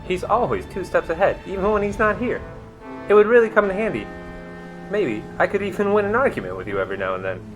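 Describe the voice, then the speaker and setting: A man's voice: high voice, animated, quick pace, soft background music, poor audio. A man playing a wry, inquisitive young masked sidekick in a radio drama, with a casual way of talking.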